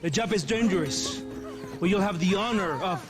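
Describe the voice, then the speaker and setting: An actor playing a smarmy, menacing Mexican army captain in a video game, with a Mexican accent and an oily, mocking manner. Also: male voice, high-pitched, normal speed, lightly acted. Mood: formal, smug. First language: Spanish. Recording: music behind the voice.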